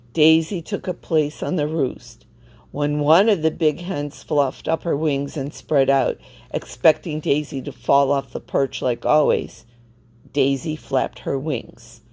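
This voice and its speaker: A woman reading a children's storybook aloud with expressive, deliberate phrasing. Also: deep voice, creaky. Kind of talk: read-aloud storybook narration.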